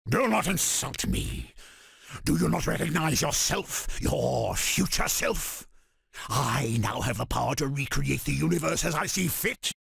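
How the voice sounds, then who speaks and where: An arrogant, armored supervillain tyrant from a video game. The voice is heavily gravelled and husky, with grandiose, commanding delivery that swings widely in pitch. Every phrase is declaimed with pompous self-importance. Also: male voice, lively intonation, measured pace, a bit bright, no echo, studio quality, exaggerated voice. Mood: imperious. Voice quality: gravelly, rasping. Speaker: video game villain character. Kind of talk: theatrical video game villain voice clips.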